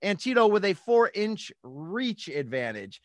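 Male podcast host, 40s, American. High voice, dry close mic, punchy sports commentary with interview questions. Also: sing-song, a little slow, no echo. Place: small home studio with posters. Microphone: studio mic on a boom arm, close.

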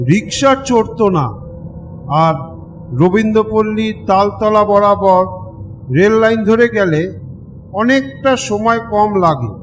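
A man narrating a scripted ghost story with deliberate, dramatic phrasing in short pieces. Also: sits high. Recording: long reverb, loud night-ambience noise.

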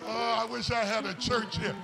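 An older man whoops through a church sermon in a high, rough, gravelly voice. He draws his lines out slowly and calls for the congregation to answer, while an organ plays beneath him. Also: level tone, rough recording. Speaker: pastor. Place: church sanctuary.

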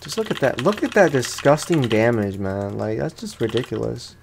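A man's voice: mid-range voice, big pitch swings, normal speed, gravelly, noisy background. A man giving casual, rambling, slangy commentary as he plays a video game for his viewers. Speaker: gaming streamer. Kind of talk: casual let's play commentary.

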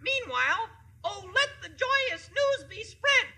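A performer playing an excitable, ceremonious little Munchkin with a squeaky piping voice in a 1950s radio play. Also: slow, exaggerated voice. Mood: ceremonious, festive. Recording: old radio broadcast with music behind.

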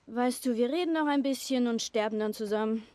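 A woman playing an earnest, vulnerable teenage girl survivor in a video game drama, speaking haltingly. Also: a little high, darkish tone, natural voice. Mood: sad, confessional.

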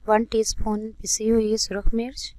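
A woman with a Pakistani accent narrates a recipe tutorial as a cooking host, in a measured, instructional way. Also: on the high side, level tone, a little mellow, dry recording.

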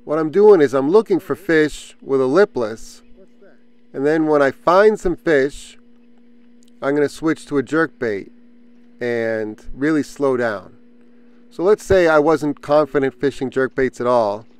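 A man with a fairly high, smooth voice talks through his fishing in an easygoing way, stopping now and then to think. He casts and reels from a small boat outdoors. The sound from the boat-mounted camera is dry and close. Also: a little slow, dry recording. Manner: relaxed explanatory, thinking aloud with fillers. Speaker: fishing channel host. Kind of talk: casual outdoor fishing vlog. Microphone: action camera on the boat.

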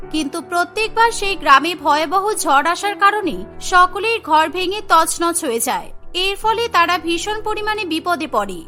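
A woman's voice: very high-pitched, pushed and bright. A woman narrating a scripted cartoon story. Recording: music behind the voice. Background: music.

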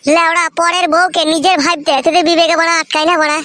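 Man doing a squeaky, fast-scolding cartoon buddy. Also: level tone, pushed and bright, dry recording. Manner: foul-mouthed, hectoring. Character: scolding, exasperated young male friend. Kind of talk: crude comedy cartoon dialogue.

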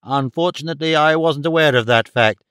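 A man's voice: fairly high pitch, brisk, a bit bright, smooth, dry recording, studio quality, lightly acted. A man playing a confident, courteous detective in an audiobook, formally polite and probing. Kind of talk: audiobook character dialogue.

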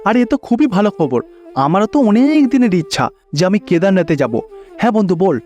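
Man voicing a cheerful, high-pitched village friend. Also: big pitch swings, fairly quick, darkish tone, highs cut off, faint music behind.

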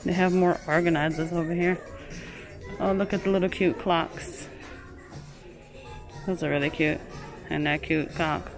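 A woman's voice: on the low side, steady tone, a little slow, vocal fry, pauses often. A woman narrating a casual shopping vlog on a handheld phone inside a large home goods store, chatting about items and reading prices aloud. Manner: chatty, keeps calling things cute, reads prices aloud. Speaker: shopping vlogger. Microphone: handheld phone camera. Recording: store recording with music playing behind.

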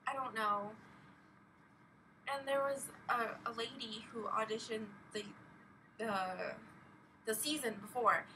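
A young woman, chatting casually in a halting, rambling vlog full of "like" as a filler. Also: on the high side, bright, forceful tone. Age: young adult. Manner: halting, filler-heavy with frequent "like". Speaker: vlogger. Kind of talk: casual rambling personal vlog, recounting an experience. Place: small bedroom at home, indoors. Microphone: webcam or laptop at arm's length. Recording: distant webcam mic, faint noise.

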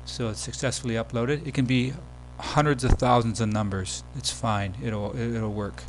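Male demo presenter, casual screencast tutorial delivery, mid-pitched voice, slight hum and noise in the recording. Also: steady tone, normal speed, low-quality recording.

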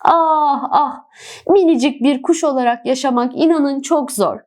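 Woman voicing a high, plaintive tiny sparrow. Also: measured pace, a little mellow. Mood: weary, pleading. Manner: plaintive, sighing, exclamatory. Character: tiny young sparrow, weary, fearful, wistful dreamer. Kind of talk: children's storybook character voice.

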